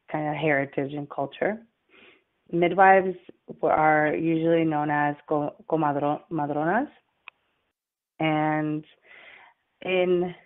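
A young woman from Puerto Rico, a midwifery student, giving a halting recorded class presentation full of uhs and restarts. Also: low voice. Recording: tinny phone-like audio.

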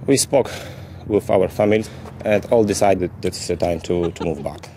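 A man from Poland speaking English with a Polish accent in an interview, in halting, non-native phrasing with frequent restarts. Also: low voice, dark tone, a little hiss or hum. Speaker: interviewee, ship designer.

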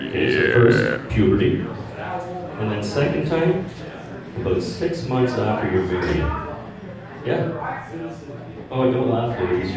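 Stand-up comic, middle-aged man, mid pitch, crude casual patter; distant and echoey, roaring crowd. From Czechoslovakia. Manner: conversational, crude, asks the crowd "right?". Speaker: stand-up comedian. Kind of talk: stand-up set. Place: small pub comedy stage with audience. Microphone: handheld mic, filmed from far back. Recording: roomy pub sound from afar. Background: loud crowd laughter.

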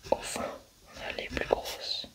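A young woman whispering an ASMR roleplay as a scientist, methodically narrating what she does. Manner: slow, methodical, narrating own actions. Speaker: ASMR performer playing a scientist.

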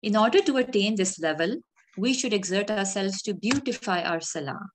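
A woman gives a sermon in a calm, earnest manner, reflective and unhurried in tone. Her voice sits at a middling pitch for a woman. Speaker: khutbah speaker. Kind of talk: measured sermon.

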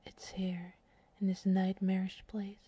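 A woman narrator whispers a solemn story introduction in an ASMR style. Her voice is extremely breathy and soft-edged, with slow, measured phrases and very little rise or fall. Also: mid-range voice, completely flat delivery. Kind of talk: scripted narration for ASMR fantasy roleplay.

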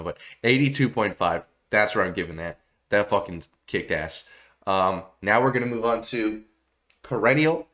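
A young man with a stuffy, nasal voice from a cold, giving excitable, swear-heavy commentary while reacting to songs in a small home room. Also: lowish voice. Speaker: music reaction streamer. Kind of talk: casual music reaction commentary.

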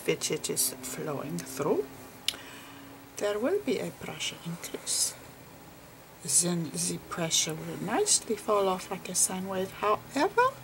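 A woman voicing an eccentric, patient male foreign physicist in an ASMR roleplay, whispery, with halting non-native grammar. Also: on the low side, background noise, exaggerated voice. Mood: patient explaining. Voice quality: whispery, breathy.

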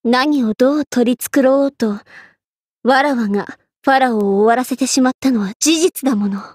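Haughty young Egyptian queen for an anime game: extremely high voice, theatrical commands, flustered pride, dry studio sound. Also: normal speed, a little mellow, no room sound. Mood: downcast. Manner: imperious, theatrical, tsundere. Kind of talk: Japanese anime game character voice acting.

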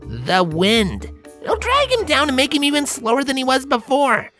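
A man's voice: very high-pitched, sing-song, moderate pace, pushed and bright, soft background music, pitched above the performer's own voice, heavily acted. A man voicing a brash, impatient female pony who loves speed.

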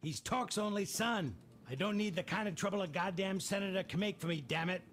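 A man's voice: sits high, brisk, a bit bright, soft background music. A man playing an irritable, commanding crime boss in a noir video game. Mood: irritated.

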